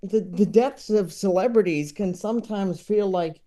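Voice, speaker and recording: A man chatting casually as a podcast guest, talking in a halting, rambling way. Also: sits high, warm tone. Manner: halting, rambling, with chuckling asides. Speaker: podcast guest, comedy enthusiast. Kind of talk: casual interview conversation.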